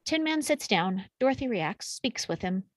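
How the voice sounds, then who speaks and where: A woman's voice: a little high, sing-song, normal speed, no room sound. A woman reading out action and camera directions from a film script as scripted narration at a table read. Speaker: reader of stage directions.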